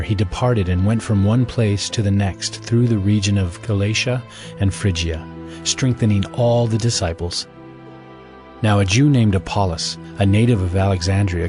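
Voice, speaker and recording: A man narrates scripture for an audio Bible in a low voice. His delivery is measured and solemn, with faint music underneath. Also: darkish tone.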